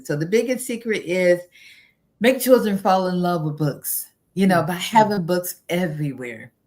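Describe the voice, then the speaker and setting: A middle-aged woman with a somewhat low-pitched voice speaks as an expert guest on an interview show. She is earnest and instructive, laying out practical tips in a conversational way.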